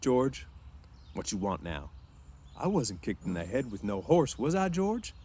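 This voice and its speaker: Man acting childlike, slow-witted big fellow, raised pitch. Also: sing-song, dark tone, husky, exaggerated voice. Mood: hurt, anxious. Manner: simple, pleading. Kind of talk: storybook read-aloud.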